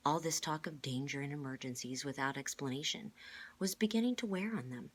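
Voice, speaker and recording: A woman reads a storybook aloud as narrator. Her voice sits low and has a husky grain. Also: brisk. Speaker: reader.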